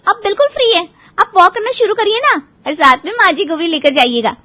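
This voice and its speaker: A woman playing an outspoken, cheerful and cheeky young daughter-in-law in a Hindi animated moral story, chirpy and persuasive. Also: very high-pitched, a little fast, heavily acted. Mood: helpful. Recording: dull, lo-fi audio.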